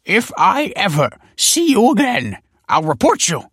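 A skinny, stiff-backed scholar of middle age, full of haughty indignation. His voice leaps high above a normal male register and swings wildly as he scolds in ornate, spluttering storybook style. The sound is close and dry. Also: huge pitch swings, slow, a bit bright, breathy edge, no echo, exaggerated voice. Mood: fuming. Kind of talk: audiobook character voice.

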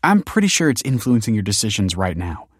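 A man voicing a feisty young woman in a narrated story, brisk, sardonic and emphatic. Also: fairly low pitch, sing-song, breathy edge, no room sound, studio quality. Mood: teasing, incredulous. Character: sharp-tongued, teasing, feisty young woman.

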